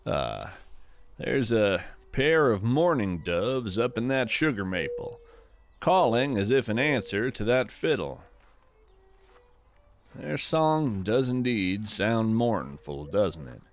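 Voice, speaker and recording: A man playing a gentle, folksy naturalist who hosts a scripted fiction podcast, musing poetically and with wonder about the woods. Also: on the low side, sing-song, heavily acted. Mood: reflective. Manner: reflective, poetic, unhurried musing. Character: gentle, wonder-struck folksy naturalist narrating a woodland walk. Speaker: podcast host.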